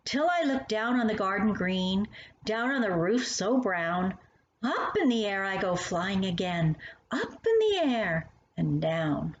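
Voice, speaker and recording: A woman reading poems and stories aloud to young children in an expressive, animated manner, speaking directly to the listener. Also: mid-range voice, measured pace. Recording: roomy, muffled sound with faint noise.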